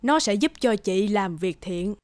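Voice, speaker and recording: An actress playing a humble old beggar woman in an animated folk tale, speaking slowly and haltingly. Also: medium pitch, a little hiss or hum, lightly acted. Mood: solemn. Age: older. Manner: slow, pleading, halting. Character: frail, humble old beggar woman, secretly wise spirit.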